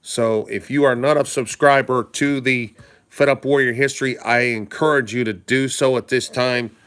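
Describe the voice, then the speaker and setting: A man hosting a live stream, hoarse and stuffed up from a cold, talking casually in a rambling way and greeting viewers by name. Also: mid-range voice, steady tone, normal speed, fairly bright tone. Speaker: livestream host.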